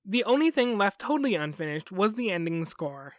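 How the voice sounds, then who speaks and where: High-pitched, lively male podcast narrator. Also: brisk, dry recording, clean studio sound. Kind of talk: scripted documentary narration.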